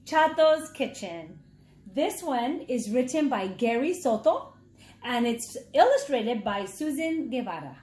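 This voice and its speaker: A woman reading a children's story aloud as a teacher, dramatic and deliberate for young listeners. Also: fairly high pitch, very animated, darkish tone. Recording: echoey, roomy sound.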